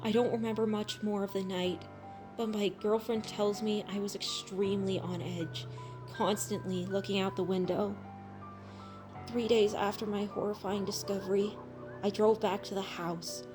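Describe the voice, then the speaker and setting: A woman's voice: mid-range voice, natural voice. Grave horror storyteller over music, read by a woman. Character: shaken first-person narrator of a horror tale. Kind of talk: dramatic horror story reading.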